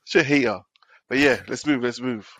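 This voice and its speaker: A man's voice: medium pitch, fairly quick, a bit bright. A man with a slightly nasal voice from a cold, co-hosting a casual chat and telling a rambling story. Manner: rambling storyteller, repeats himself. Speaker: podcast co-host.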